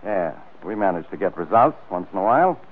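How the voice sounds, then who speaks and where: Animated, sarcastic police inspector. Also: male voice, low-pitched, fairly quick, slightly rough, exaggerated voice. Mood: irritated. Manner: blustery, sarcastic. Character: gruff, impatient, sarcastic male police inspector. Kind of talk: 1940s radio drama acting. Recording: noisy tinny 1940s radio recording.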